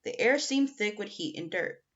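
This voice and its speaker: A woman's voice: mid-range voice, expressive, normal speed, a bit bright. A woman reading a children's novel aloud as scripted audiobook narration, on a lo-fi home recording. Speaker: audiobook reader.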